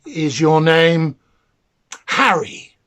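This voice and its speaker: A man's voice: on the high side, lively intonation, very slow, fairly bright tone, exaggerated voice. A man voicing an anxious young queen in a fairy tale, speaking in a hesitant, questioning manner. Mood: coy questioning.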